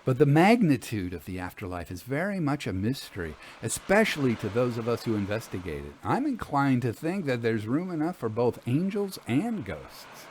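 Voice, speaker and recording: A man voicing a gentle, earnest lady investigator in a story, speaking politely and formally. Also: mid-range voice, very animated, moderate pace, few pauses, slight background noise, lightly acted. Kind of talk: audio storytelling, acted dialogue.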